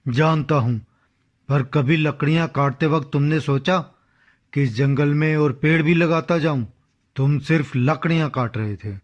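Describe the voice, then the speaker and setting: A man voicing a stern, authoritative forest officer in an animated moral story, firm and lecturing, in slightly dull audio. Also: a little high, unhurried, close to an everyday voice.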